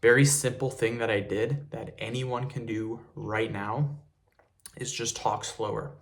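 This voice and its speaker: A young man hosting a casual tutorial video to camera, relaxed and repetitive, often calling viewers "you guys". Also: mid-range voice, measured pace, creaky. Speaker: fitness video host.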